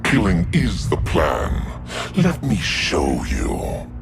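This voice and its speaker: An actor voicing a cruel, bloodthirsty demonic living scythe in a video game, with a gravelly, menacing delivery. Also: male voice, low-pitched, wildly swooping pitch, drawn-out words, exaggerated voice. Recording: game audio with music behind.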